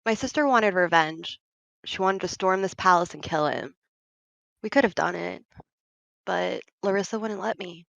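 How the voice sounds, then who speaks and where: A woman's voice: on the low side, moderate pace, creaky, pauses often, fairly bright tone, no echo, lo-fi sound. A woman playing a frank, worldly, reflective female companion in an audio drama, speaking plainly like a storyteller. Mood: somber, candid.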